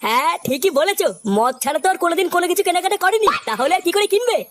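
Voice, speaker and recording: Cartoon old father: very high-pitched, breakneck delivery, foul-mouthed, sour and sarcastic. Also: expressive, rapid-fire, a bit bright, a little hiss or hum. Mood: bitter sarcasm. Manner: sarcastic, complaining. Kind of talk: comic cartoon dialogue.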